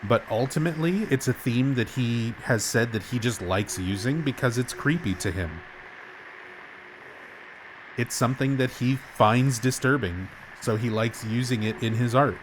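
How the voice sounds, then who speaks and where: A man's voice: mid-range voice, moderate pace, background noise. A man streaming a game, explaining things casually while nursing a sore throat. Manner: explanatory, chatty, gets animated when amused.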